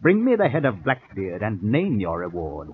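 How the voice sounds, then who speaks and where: An actor playing a peevish, vindictive, excitable colonial governor, blustering petulantly in a radio drama. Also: male voice, fairly low pitch, moderate pace. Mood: conspiratorial. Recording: muffled, hissy old radio recording.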